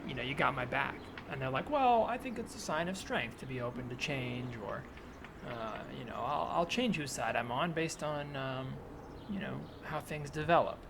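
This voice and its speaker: A man's voice: medium pitch, lively intonation, normal speed. A man playing an earnest, provocative right-wing militia member and self-styled historian, in a hesitant, probing, conversational improvised comedy scene. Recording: clearly noisy, added ambient soundscape. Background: ambient sound effects.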